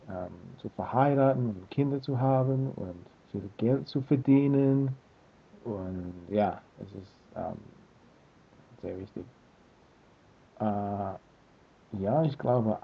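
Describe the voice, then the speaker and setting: A man's voice: on the low side, breathy edge. A man in his thirties from Australia, talking to his webcam in a small room, hesitant and searching for words with many fillers. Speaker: language learner vlogger. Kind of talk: casual talk to camera. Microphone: webcam built-in mic. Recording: tinny phone-like lo-fi sound.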